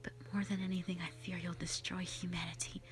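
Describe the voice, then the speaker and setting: A woman voicing a gentle, protective elder dragon in a slow, solemn, whispered audio roleplay monologue, full of sorrow. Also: on the low side, little pitch movement, lightly acted. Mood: fearful, grieving. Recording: music behind the voice.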